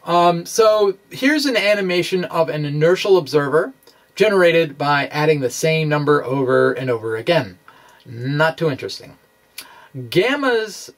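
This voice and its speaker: Slow, halting man explaining physics. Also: fairly high pitch. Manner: halting, self-correcting. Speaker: science video blogger. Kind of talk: informal physics explainer narrating animations.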